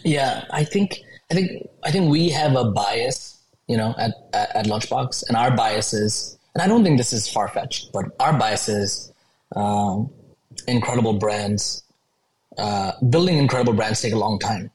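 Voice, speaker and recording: A man in his thirties from Bangladesh, a podcast guest talking in a casual, upbeat way with many pauses and restarts. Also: fairly low pitch, expressive, rough recording. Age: 30s. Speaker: podcast guest, tech company founder. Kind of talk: casual interview chat.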